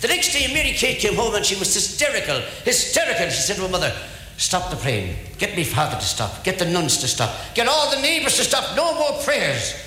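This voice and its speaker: A man's voice: sits high, huge pitch swings, a little fast, sharp tone. A man from Ireland with an Irish accent telling stand-up jokes as a storyteller, repeating phrases for effect. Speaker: stand-up comedian. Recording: echoey hall, faint background noise.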